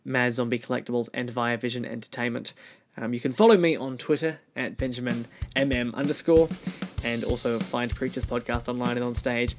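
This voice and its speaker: Australian male podcast host, mid-high pitch. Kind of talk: casual interview and podcast sign-off.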